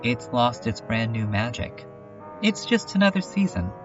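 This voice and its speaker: A man playing a brooding, haunted adult narrator in an introspective dramatic monologue, reflective and halting. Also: medium pitch, sing-song, natural voice. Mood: nostalgic, absorbed. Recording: dull lo-fi recording, distant mic. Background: music.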